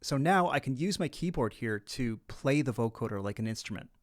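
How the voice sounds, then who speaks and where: A man narrating an instructional software tutorial, explaining things casually and step by step. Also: medium pitch, expressive, a little fast, over loud music.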